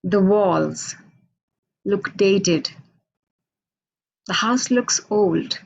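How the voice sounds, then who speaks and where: A woman from India with an Indian accent, a Hindi native speaker, giving a halting, unhurried poetry reading over a video call from a radio station office. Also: mid-range voice, creaky, muffled recording. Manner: unhurried, halting, with many fillers. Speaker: poet.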